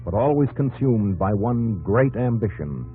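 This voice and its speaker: An American actor playing a solemn, probing narrator in dramatic 1950s radio style, addressing the hero directly. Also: male voice, lowish voice, lively intonation, unhurried. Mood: solemn, admiring. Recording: muffled old radio broadcast, music underneath.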